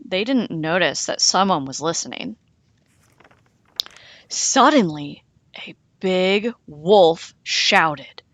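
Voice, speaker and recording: Very slow, animated woman storyteller. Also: medium pitch, dry recording. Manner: dramatic, deliberate pauses. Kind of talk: animated children's read-aloud.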